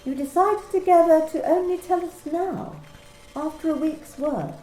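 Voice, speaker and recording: A woman playing a coolly clinical office manager in an amateur radio play, asking personal questions in a calm, matter-of-fact, bureaucratic way. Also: very high-pitched, unhurried, reverberant, soft background music, poor audio. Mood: businesslike.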